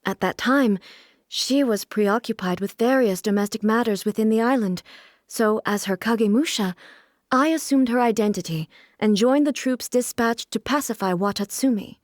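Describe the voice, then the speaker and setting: An actress voicing a solemn, aloof immortal shogun and swordswoman in a video game, with formal, measured, stately delivery. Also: a little high, no room sound, clean studio sound, natural voice. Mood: reflective, composed. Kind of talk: video game character voice lines.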